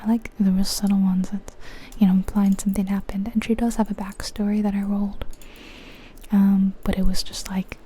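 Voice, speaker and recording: A woman whispering softly and breathily in a casual, chatty ASMR style as she comments on a game, gentle and musing. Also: mid-range voice, little pitch movement, a little fast, a little hiss or hum. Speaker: ASMR channel host.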